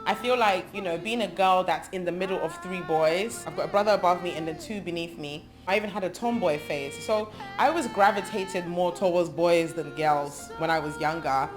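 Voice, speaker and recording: Low-voiced woman over music. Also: fairly quick, hardly any pauses. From England. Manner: thoughtful, long lists with frequent ums. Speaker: gospel singer. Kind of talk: interview monologue about her life. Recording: music playing under her voice. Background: background music.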